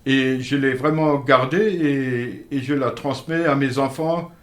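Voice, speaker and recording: A man's voice: medium pitch, a bit bright. Older man telling local history. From Moselle, France. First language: Alsatian-Lorraine Franconian dialect. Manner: earnest, measured storyteller with halting restarts. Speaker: interview guest. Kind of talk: radio interview storytelling. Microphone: studio microphone.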